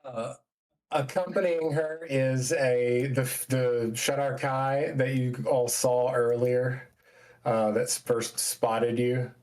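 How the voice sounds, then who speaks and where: A man runs a tabletop game as its game master. He narrates casually and slowly, feeling his way haltingly through each phrase. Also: mid-range voice, fairly even intonation, a little mellow.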